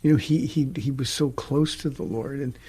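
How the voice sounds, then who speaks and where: A middle-aged man, a pastor hosting a talk show, teaching from the Bible in an earnest, halting way with frequent restarts. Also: mid-range voice, dark tone, breathy edge.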